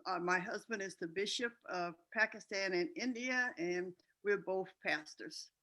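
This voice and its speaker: Slow-speaking woman in her seventies. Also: mid-range voice, pushed and bright. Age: 70s. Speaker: panelist. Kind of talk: casual self-introduction.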